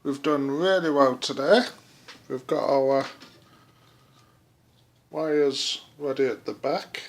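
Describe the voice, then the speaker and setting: A man giving a casual DIY how-to vlog, speaking haltingly as he thinks aloud. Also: mid-range voice, a little hiss or hum. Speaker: hobbyist presenter.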